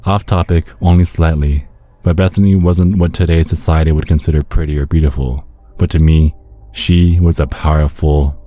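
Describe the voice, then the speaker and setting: Man playing a very deep-voiced vampire storyteller. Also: fairly even intonation, normal speed, mellow, no room sound. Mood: confiding. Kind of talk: first-person horror storytelling, ASMR.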